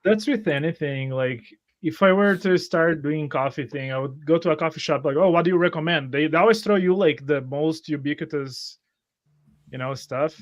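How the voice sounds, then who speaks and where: Higher-pitched man in halting casual chat. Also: muffled recording. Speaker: tabletop game player on a stream.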